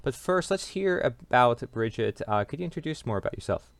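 A man hosting an interview, opening the show with a polite, measured introduction of his guest. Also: medium pitch, lively intonation, creaky, no room sound. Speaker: interview host.

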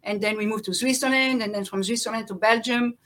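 A middle-aged woman with a French accent, a guest telling her life story in a halting way, often restarting and searching for words. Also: fairly high pitch, steady tone, fairly bright tone. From born in Central Africa, raised in France. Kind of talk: personal life story in conversation.

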